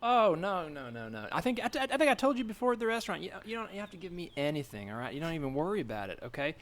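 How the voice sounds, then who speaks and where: A man playing an awkward, kindly, flustered churchman in improvised comedy, speaking politely in stammering, hurried bursts. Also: fairly high pitch, very animated, fairly bright tone. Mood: nervously reassuring.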